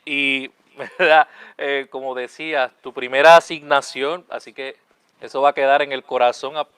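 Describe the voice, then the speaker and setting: A man, a friar hosting a talk show. His voice is mid-pitched and smooth. He speaks in a friendly way, often tagging his sentences with a question, in a dry, close recording. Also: steady tone, normal speed, a bit bright, no echo. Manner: friendly, repeats "¿verdad?" often. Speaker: host, Capuchin friar.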